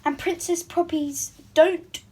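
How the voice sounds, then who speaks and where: A woman's voice: extremely high pitch, steady tone, takes it slowly, warm tone, slight background noise, voice pushed up. A woman voicing a stern, commanding king and father in a children's storybook. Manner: firm, commanding.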